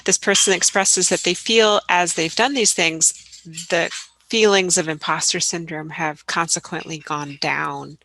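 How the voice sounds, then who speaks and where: A woman's voice: on the low side, steady tone, unhurried, pushed and bright, low-quality recording. A woman relaying audience questions from the chat, paraphrasing them carefully and apologizing in case she got them wrong. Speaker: webinar co-host reading chat questions.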